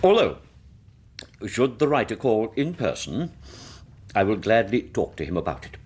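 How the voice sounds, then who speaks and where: A man's voice: on the low side, big pitch swings, moderate pace, darkish tone, slight background noise. An actor playing a fussy, dismissive older Victorian father with an English accent in a radio drama, pompous and rambling in manner. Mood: blustery, dismissive.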